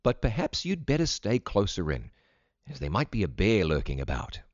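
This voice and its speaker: A man voicing a caring, anxious country mother in a storybook, with a gentle and concerned manner. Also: fairly low pitch, huge pitch swings, normal speed, no echo, dull audio. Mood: reassuring.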